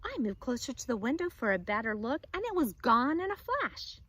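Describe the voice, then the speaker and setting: A woman's voice: sits high, big pitch swings, a little fast, sharp tone, no room sound, voice pushed up, heavily acted. A woman voicing a chatty, genteel wife at the dinner table in a storybook.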